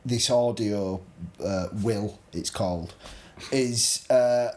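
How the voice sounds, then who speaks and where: A man's voice: fairly low pitch, slow, darkish tone. A man co-hosting a casual panel discussion, exasperated and given to repeating himself. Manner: exasperated, repetitive. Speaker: podcast co-host.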